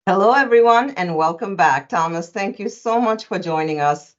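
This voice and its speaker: Woman co-host from India, low, animated questions, muffled audio. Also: moderate pace. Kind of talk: asking interview questions.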